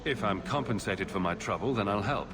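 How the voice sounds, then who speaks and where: An actor playing a self-assured, pragmatic mercenary adventurer in a fantasy game, with dry, direct delivery. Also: male voice, fairly low pitch, lively intonation, brisk, a bit bright, slight background noise. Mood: cool, pragmatic. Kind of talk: fantasy game dialogue acting.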